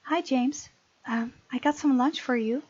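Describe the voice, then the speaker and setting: A woman's voice: high voice, measured pace, darkish tone, slightly rough, no room sound, muffled recording. A woman from the Netherlands, reading a visual novel aloud as let's play narration with casual asides.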